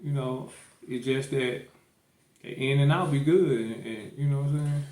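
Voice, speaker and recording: A man's voice: mid-range voice, moderate pace, darkish tone. Rambling man in an echoey room. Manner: loose, rambling, full of restarts and fillers. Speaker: podcast host. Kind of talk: casual chat.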